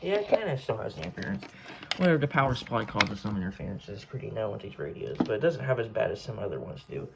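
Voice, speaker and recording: A man giving a casual, unscripted show-and-tell as a hobbyist collector, rambling and halting as he corrects himself. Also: fairly low pitch, very animated, vocal fry, heavy background noise.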